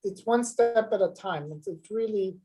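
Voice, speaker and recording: A woman's voice: mid-range voice, normal speed, warm tone. A woman on a live web show speaking earnestly about fear and shame, often repeating words as she goes.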